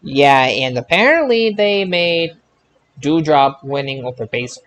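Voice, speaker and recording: Man, fairly high pitch, podcast host, casual group talk, halting delivery, repeated words. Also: lively intonation, fairly bright tone. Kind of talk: hosting a casual group wrestling discussion.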